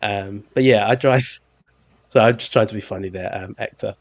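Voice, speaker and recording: A man's voice: fairly low pitch, normal speed, gravelly, no room sound. Man, London accent, thirties, podcast host, rambling, joking, ums, dry close mic. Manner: rambling, jokey, many ums. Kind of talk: casual chat.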